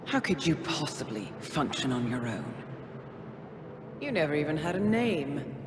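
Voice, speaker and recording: A woman playing a poised, calculating intelligence handler in a video game, speaking in a measured, formal and cutting way. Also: deep voice, big pitch swings, background noise. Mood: cold mockery. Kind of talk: scripted video game acting. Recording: long reverb, music underneath.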